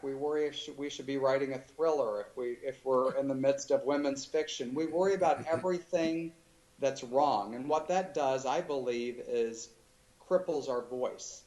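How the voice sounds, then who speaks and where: A man being interviewed as an author, talking in a thoughtful, halting way with many restarts. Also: medium pitch, a little mellow, lo-fi sound. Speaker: author guest. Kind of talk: casual interview chat.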